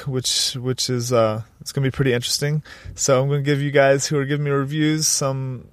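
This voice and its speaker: A man hosting a podcast, upbeat and energetic, with a stuffy nasal voice from a sinus infection. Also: mid-range voice, dry recording. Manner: upbeat, energetic, frequent restarts. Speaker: podcast host. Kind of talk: podcast intro and interview. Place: Colorado.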